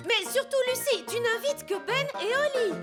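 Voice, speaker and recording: A woman voicing a kind, cautious mother in a children's cartoon, speaking in a gentle, confiding way. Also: very high voice, normal speed, loud music behind the voice. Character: kind, cautious human mother of a young girl. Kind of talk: dubbed cartoon acting for children.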